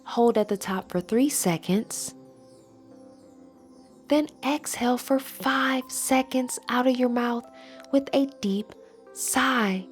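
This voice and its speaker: A woman leading a guided meditation and affirmations in a soft, breathy, soothing voice with measured, deliberate phrasing. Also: on the high side. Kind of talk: slow guided meditation narration with spoken affirmations. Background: background music.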